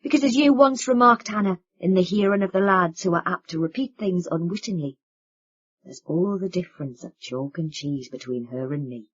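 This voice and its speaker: Angry young man played by a woman narrator, loud and cutting, in a dull recording. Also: mid-range voice, big pitch swings, normal speed, husky. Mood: bitter. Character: bitter, furious young man accusing his family. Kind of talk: audiobook character reading.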